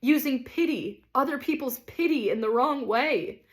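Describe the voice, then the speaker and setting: Soft, earnest heavenly lady, put on by a woman reader, high and pleading. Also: moderate pace, close to an everyday voice. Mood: urgent. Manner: tender, urgent, pleading.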